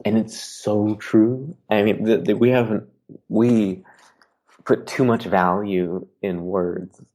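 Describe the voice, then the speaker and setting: A very deep-voiced man speaks as a guest on a podcast. He is an artist who talks in an earnest, philosophical way, reflecting thoughtfully in casual conversation. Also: fairly even intonation, slow, a little mellow.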